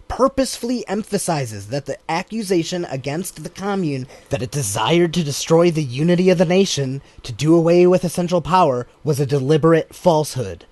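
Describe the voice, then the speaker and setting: A man narrating an audiobook of a political text, reading in a deliberate, emphatic way. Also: on the high side, lively intonation, darkish tone, no echo. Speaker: audiobook reader.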